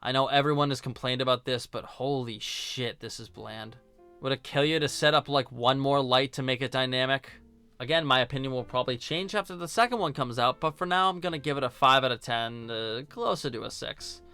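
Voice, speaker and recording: A man's voice: mid-range voice, fairly quick, bright, forceful tone, smooth, faint music behind. A man giving scripted video-essay commentary in a sarcastic, informal, joking manner. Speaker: video reviewer and host.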